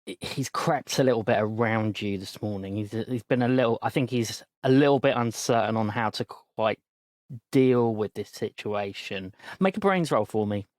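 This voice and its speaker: A man narrating as game master in a relaxed, descriptive way. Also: lowish voice, moderate pace, dry recording, clean studio sound.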